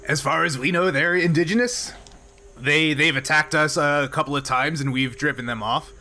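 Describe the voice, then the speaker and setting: A man voicing a gruff older Klingon miner in a tabletop roleplay, blunt, matter-of-fact and unbothered. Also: fairly high pitch, moderate pace, pushed and bright. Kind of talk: improvised tabletop roleplay acting.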